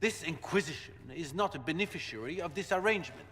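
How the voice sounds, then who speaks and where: A man's voice: fairly high pitch, quick pace. An actor playing a haughty, indignant nobleman in a fantasy video game, speaking in a pompous, formal way. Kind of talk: video game cutscene acting. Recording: reverberant hall with steady background noise.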